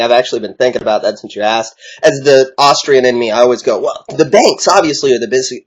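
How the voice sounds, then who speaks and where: A thoughtful man on a discussion panel reasons his way through an answer, pausing to find his words. His voice is middle-pitched. The recording sounds dull and lo-fi.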